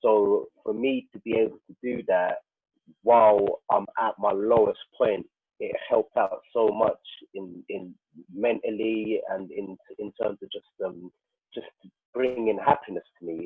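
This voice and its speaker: A man speaking haltingly as a guest in a panel conversation, reflecting personally and often repeating himself as he searches for words. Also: fairly low pitch, steady tone, breathy edge. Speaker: guest, prison radio producer. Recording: roomy, phone-like narrowband call.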